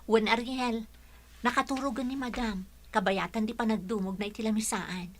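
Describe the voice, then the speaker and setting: A woman's voice: a little high, steady tone, moderate pace, natural voice. A woman playing a reassuring school colleague in an audio drama.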